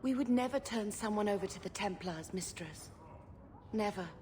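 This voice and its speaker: A woman's voice: mid-range voice, moderate pace, a little mellow, natural voice. An actress playing an earnest, compassionate female adventurer in a video game. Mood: earnest, reassuring. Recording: clear background noise.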